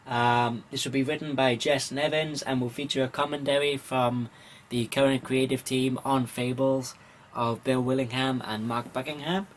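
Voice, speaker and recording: A man from Wales gives an informal vlog-style news rundown in a halting, hesitant way, pausing often with ums. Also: medium pitch, steady tone, slight background noise. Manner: halting, hesitant delivery with frequent pauses and ums. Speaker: comics vlogger.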